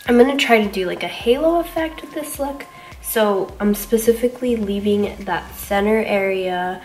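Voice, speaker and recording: A woman's voice: mid-range voice, moderate pace, darkish tone, recorded from a distance, poor audio. A young woman sounding congested, chatting casually through a makeup tutorial with lots of ums and likes, in a small bedroom. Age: young adult. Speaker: beauty vlogger.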